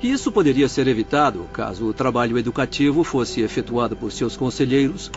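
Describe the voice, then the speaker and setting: A man's voice: mid-range voice, animated, fairly quick, close to an everyday voice. A man acting a patient young spirit doctor, courteous and didactic, in an audiobook drama. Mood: patient, instructive. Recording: muffled, faint music behind.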